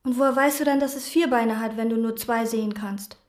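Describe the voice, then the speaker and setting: A woman playing a brooding, obsessive chambermaid in a radio play, narrating and talking in a quiet, probing, musing way. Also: fairly high pitch, a little fast, roomy sound, natural voice.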